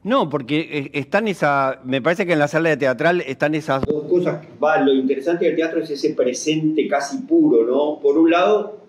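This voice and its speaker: A man's voice: a little high, normal speed, hardly any pauses, slight background noise. An Argentine man with a Rioplatense accent interviewing casually and tentatively, often checking with tag questions, in a roomy recording. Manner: hesitant, seeks agreement with tag questions. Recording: roomy, echoey sound.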